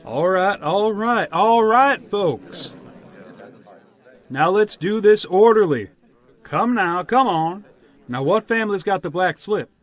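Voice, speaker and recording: A man plays a country village official running a solemn gathering in a radio drama. His voice sits a little high and moves up and down freely. He is brisk, orderly and insistent, with folksy phrasing. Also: animated. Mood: brisk, rallying. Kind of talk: radio play acting.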